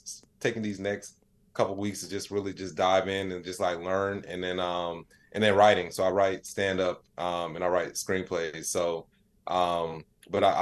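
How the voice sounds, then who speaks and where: A man talking casually as a podcast guest, informal and halting, with lots of filler phrases. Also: low-pitched. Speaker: podcast guest, comedian and AI artist. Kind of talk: casual chat.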